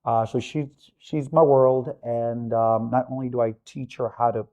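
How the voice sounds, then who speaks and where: A man from Honduras in his forties, a business-owner guest who talks in a halting way with many fillers. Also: medium pitch, flat delivery, dark tone, muffled recording. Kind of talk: casual interview chat.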